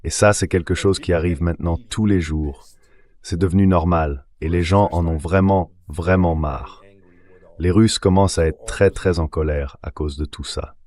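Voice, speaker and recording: A low male voice, synthetic in character, reads translated political commentary. It sounds like an AI dub of an interview guest. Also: steady tone, normal speed, darkish tone. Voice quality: synthetic AI dubbing voice.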